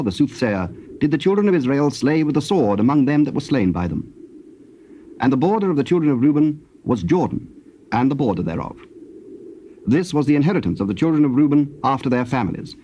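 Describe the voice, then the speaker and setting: Male Bible-drama narrator, medium pitch, very mellow, formal and measured, on dull cassette sound. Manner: measured, formal, solemn reading. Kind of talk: scripted dramatized scripture narration. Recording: muffled old cassette tape, background noise.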